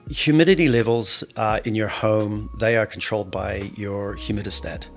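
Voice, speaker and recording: Middle-aged New man, low voice. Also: normal speed, faint music behind. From New Zealand. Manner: steady, advisory, with frequent fillers. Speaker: home inspector guest. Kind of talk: conversational interview.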